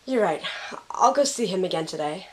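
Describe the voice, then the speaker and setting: A woman's voice: fairly low pitch, animated, normal speed, a little mellow, close to an everyday voice. A young woman playing a guarded, withdrawn student in an amateur audio drama. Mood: softer, relieved.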